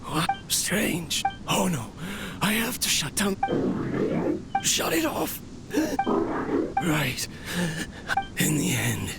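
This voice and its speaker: A man voicing a lonely, weary inventor in a video game, speaking earnestly and haltingly as if confiding in his machine. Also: on the high side, big pitch swings, vocal fry, full character voice. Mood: distressed. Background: game music.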